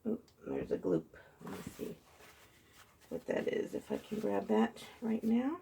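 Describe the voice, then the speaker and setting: A woman with a hoarse, froggy voice chatting softly as she pours and tilts acrylic paint, recorded on a phone mounted above her table. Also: mid-range voice, wildly swooping pitch, unhurried, a little hiss or hum. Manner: soft-spoken, chatty, muttering while working. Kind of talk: casual narration while painting. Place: indoor craft table. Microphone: phone held high above the table.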